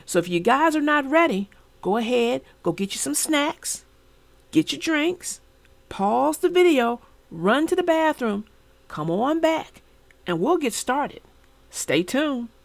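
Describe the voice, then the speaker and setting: A woman narrating for children in an expressive read-aloud style, speaking directly to her young listeners. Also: high voice, slow pace, vocal fry, no room sound.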